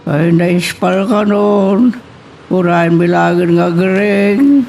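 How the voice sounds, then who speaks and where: A man's voice: sits high, takes it slowly, gravelly, a little mellow, a little hiss or hum, heavily acted. A man playing a weary, worried old father in an Ilocano radio drama.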